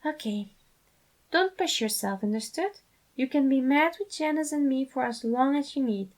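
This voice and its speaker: A woman's voice: sits high, normal speed, voice pushed up, natural voice. A woman voicing a loving, fatherly man who talks earnestly and emphatically in a fan fiction reading. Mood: earnest, reassuring.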